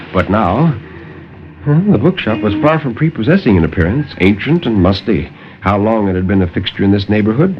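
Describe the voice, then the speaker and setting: A male storyteller from 1950s radio reads in a theatrical, literary way. His pitch moves widely and dramatically, and the old recording is muffled, with music behind it. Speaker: storyteller, co-host.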